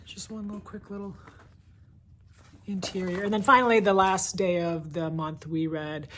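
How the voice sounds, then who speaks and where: A woman with a deep-set voice talks casually to viewers as a book reviewer. Her delivery is conversational and full of enthusiasm, gushing freely. The recording carries a noticeable layer of background noise. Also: steady tone, moderate pace, fairly bright tone.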